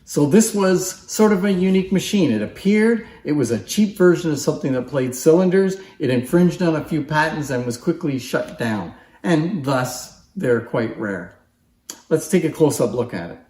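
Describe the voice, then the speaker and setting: A man's voice: a little high, lively intonation, moderate pace, mellow. A man giving an informal, unscripted demonstration as a collector, explaining things in a plain-spoken way to viewers. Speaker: antique phonograph collector and presenter. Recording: echoey, roomy sound.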